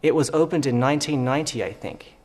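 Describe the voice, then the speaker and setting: A man's voice: medium pitch, fast talker, lightly acted. An actor playing a helpful male local who tells a tourist about a castle.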